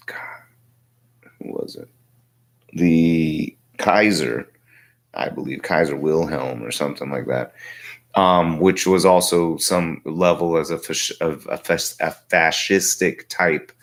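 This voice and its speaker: A man in his forties with a tiring voice, talking through political commentary in a halting, reflective way as a livestream host. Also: a bass voice. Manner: halting, reflective, thinking aloud. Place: small home workshop, indoors.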